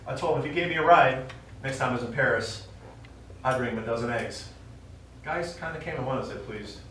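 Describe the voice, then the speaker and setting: An actor playing a stubborn old American war veteran and father, telling his memories haltingly in a stage play. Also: mid-range voice, sharp tone, close to an everyday voice. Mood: wistful reminiscing. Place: small theater stage, recorded from the audience. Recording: distant, very echoey theater recording, faint noise.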